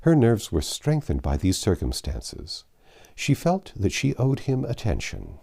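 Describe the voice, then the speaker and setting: A man with a deep voice narrates a classic novel. His tone is dark and mellow, and his intonation moves expressively through the scripted prose as an audiobook narrator. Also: wildly swooping pitch, unhurried, vocal fry, slightly rough.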